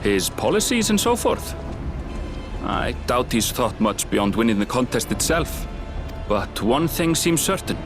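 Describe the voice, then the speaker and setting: A measured, explanatory guide. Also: male voice, medium pitch, sing-song. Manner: measured, explanatory, slightly dry. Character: composed male guide explaining politics. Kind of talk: video game cutscene acting. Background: game score music.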